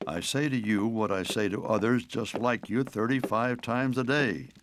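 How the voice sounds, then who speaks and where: Man voicing a tired old statesman, cartoon, dry and fed up, middle pitch. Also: normal speed, slight background noise, natural voice.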